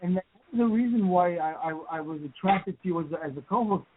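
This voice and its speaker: A man hosting a paranormal radio talk show, excitable and often repeating words as he speaks. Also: high-pitched, a little fast. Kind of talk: casual radio chat. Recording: dry tinny phone-like line.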